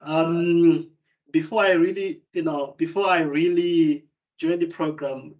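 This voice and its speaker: Young man from Zimbabwe, student presenter, hesitant with fillers, fairly high voice, video-call mic. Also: steady tone, takes it slowly, a little mellow, muffled recording. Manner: hesitant, many fillers and restarts. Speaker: student speaker. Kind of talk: online open day presentation.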